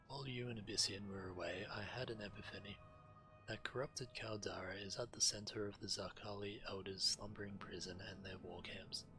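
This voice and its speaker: Deep-voiced grave male dragon strategist. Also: pushed and bright, poor audio. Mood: intent, scheming. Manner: formal, measured, brooding. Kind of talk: dramatic fantasy game dialogue.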